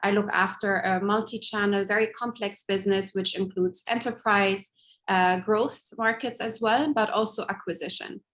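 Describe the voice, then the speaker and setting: A woman's voice: medium pitch, steady tone, normal speed, a bit bright. A woman speaks as a guest in an online interview, fluent and friendly. She grew up between Lebanon and Germany and talks through her answers in an easy, articulate way. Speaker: guest, tech executive.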